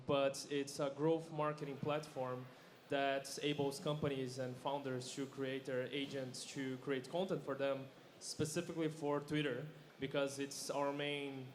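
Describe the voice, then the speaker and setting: A man speaking informally and haltingly as a panelist at a conference. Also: mid-range voice, little pitch movement. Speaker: panelist, software company founder. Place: stage at a conference. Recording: faint event background noise.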